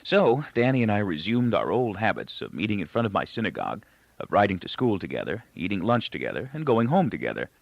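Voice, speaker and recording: A man's voice: fairly low pitch, wildly swooping pitch, fast talker, gravelly, dry recording. A man reading literary fiction aloud as a scripted narration. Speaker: audiobook reader. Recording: dull, lo-fi, close dry recording.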